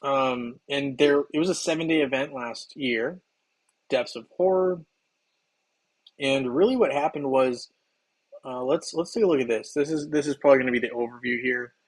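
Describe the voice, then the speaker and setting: A slightly hoarse man hosting a game livestream, chatting loosely with viewers while he plays, with plenty of ums and restarts. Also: mid-range voice, moderate pace. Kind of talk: casual livestream chat while playing.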